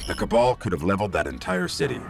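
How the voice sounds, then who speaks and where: Game companion robot: deep, gravelly, varied intonation, wry and earnest briefing. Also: male voice, huge pitch swings, fast talker, full character voice. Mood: intent. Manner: matter-of-fact, expository. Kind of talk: video game mission briefing. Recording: music behind.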